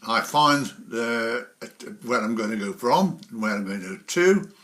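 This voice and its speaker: A man's voice: mid-range voice, animated, unhurried, pushed and bright. A man giving a casual, rambling spoken tutorial as a presenter, explaining each step as he goes. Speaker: presenter of a model railway software tutorial.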